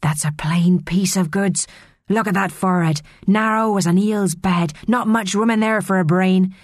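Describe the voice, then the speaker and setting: A woman's voice: mid-range voice, moderate pace, dry recording, studio quality, close to an everyday voice. A woman doing a brash, salty-tongued mermaid. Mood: jeering. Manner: blunt, old salty sailor slang.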